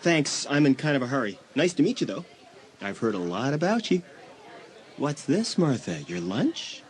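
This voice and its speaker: A man's voice: mid-range voice, big pitch swings, normal speed, some chatter behind, lightly acted. A man playing a sardonic, needling teenage stepbrother in an audiobook dramatisation, with a mocking manner.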